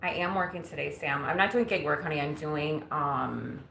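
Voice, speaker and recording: Woman, 40s, low pitch, casual self-teasing live-stream talk, roomy faint-ambience sound. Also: normal speed, creaky, fairly bright tone, slight background noise. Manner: chatty, self-teasing, laughs often. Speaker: vlogger. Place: home kitchen.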